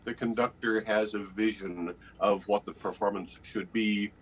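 An older man giving deliberate, measured advice in a panel interview on a slightly noisy video call. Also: lowish voice, fairly even intonation. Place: indoor room, on a video call.

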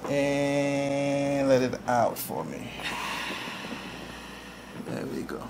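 A man working as a chiropractor, giving calm hands-on instructions with short repeated reassurances in a small treatment room. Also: medium pitch, extremely slow, creaky, background noise.

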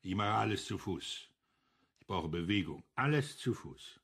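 An actor playing a bitter, stubborn older retired police chief in a radio crime drama, curt and commanding. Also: male voice, fairly low pitch, lively intonation, unhurried, a bit bright, breathy edge, heavily acted. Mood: curt, irritable. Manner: curt, irritable.

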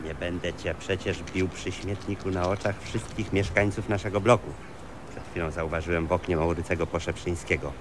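An actor playing a politely violent, brutish husband in a comedy radio play, folksy and matter-of-fact. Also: male voice, sits low, fairly even intonation, fairly quick, background noise, natural voice. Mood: threatening. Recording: radio comedy with audience behind.

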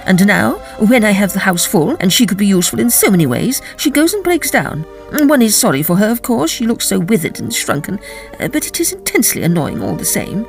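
A reader puts on a fussy, irritable noblewoman from a storybook. Her complaints tumble out quickly and rise sharply in pitch. Beneath it sits a gravelly texture, with faint music behind. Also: very animated. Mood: peevish.

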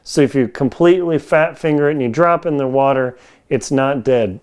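Clear-voiced man reviewing gear casually. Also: medium pitch, normal speed, darkish tone. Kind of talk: casual hands-on product review. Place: home kitchen, indoors. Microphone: lapel mic.

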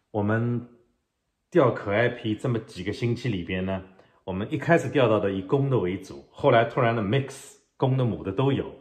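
A middle-aged man teaching a fishing technique in an unhurried way, explaining step by step with pauses, filmed at home indoors. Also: on the low side. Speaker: fishing channel host. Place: home living room, indoors. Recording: roomy echo.

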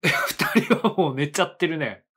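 Husky man musing through an anime reaction. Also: a little high, expressive, normal speed, fairly bright tone. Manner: musing aloud, lots of short reactions and laughs. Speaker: anime reaction channel host. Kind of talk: casual reaction commentary. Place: small indoor studio with a sofa.

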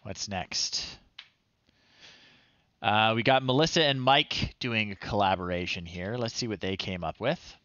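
A man in his thirties hosting a casual livestream, talking in an unhurried, amused, halting way. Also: lowish voice, fairly bright tone, no room sound, lo-fi sound. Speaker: livestream host. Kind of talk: casual livestream commentary, reading children's stories aloud. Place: small home room, indoors.

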